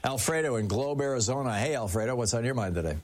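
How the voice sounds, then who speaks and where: A man hosting a call-in radio show, acknowledging callers in an affable way, with frequent halting restarts. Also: medium pitch, lively intonation. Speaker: radio talk show host.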